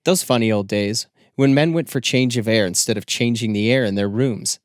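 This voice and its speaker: A man reading scripted literary narration as an audiobook narrator, with measured, deliberate phrasing. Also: medium pitch, no echo, clean studio sound.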